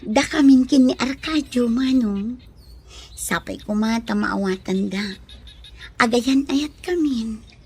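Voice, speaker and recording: An actress with a Filipino accent playing an earnest, quietly resigned young woman in love in a radio drama. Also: on the high side, slow pace, pauses often, slightly rough, exaggerated voice. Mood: resigned, soft. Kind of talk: Ilocano radio drama acting.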